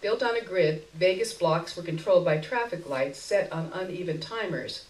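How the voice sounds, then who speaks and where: A woman reading literary fiction aloud at a live event, expressively and with wry, deliberate phrasing. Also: on the low side, expressive. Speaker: story reader. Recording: echoey room, miked from a distance.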